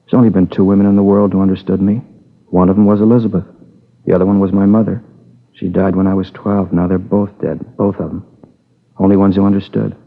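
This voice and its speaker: A man's voice: deep voice, little pitch movement, fast, creaky. An American actor playing a troubled, needy young man confessing to a killing in a 1950s radio drama, brooding and pleading in short repeated phrases. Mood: anguished, defensive. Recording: tinny old radio recording with long reverb.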